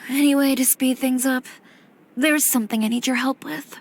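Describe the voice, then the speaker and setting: A woman voicing a self-assured, secretive fashion designer with a brisk, dry manner in an anime game. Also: high-pitched, fairly even intonation, a little hiss or hum. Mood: dry, pointed.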